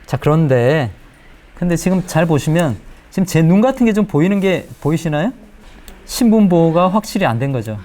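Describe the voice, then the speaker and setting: Male tutorial presenter, middle-aged, voice a bit high, explains stepwise and checks in with questions. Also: sing-song, normal speed, dark tone, slight background noise. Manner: explanatory, step by step, often checking with rhetorical questions. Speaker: video editing instructor. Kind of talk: spoken screen-recorded software tutorial.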